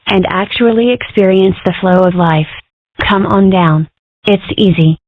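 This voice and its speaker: A woman's voice: mid-range voice, a little slow, heavy background noise, close to an everyday voice. A woman voicing a sarcastic, rebellious young furry schoolgirl in a scripted comic-strip dub. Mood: sardonic. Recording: muffled, phone-like narrow band.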